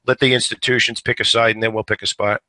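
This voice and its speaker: Slightly hoarse guy talking stock charts, lowish voice, chatty and repeats himself. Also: little pitch movement, quick pace, sharp tone, no echo. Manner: conversational, repeats words when thinking. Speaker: stock trading show host. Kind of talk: live market commentary.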